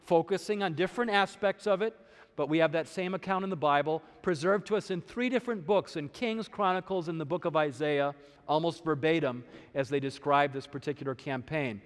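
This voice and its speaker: A man presenting a lecture in a steady, explanatory way. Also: fairly high pitch, a little fast, long reverb tail. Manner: explanatory, steady, occasional uh fillers. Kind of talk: lecture on archaeology.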